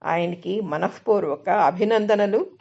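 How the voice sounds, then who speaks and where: A woman reading a scripted reflective essay aloud in a measured, instructive manner. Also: medium pitch, gravelly. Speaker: reader of articles and book excerpts. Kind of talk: scripted reading of a spiritual self-help essay. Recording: dull, lo-fi sound.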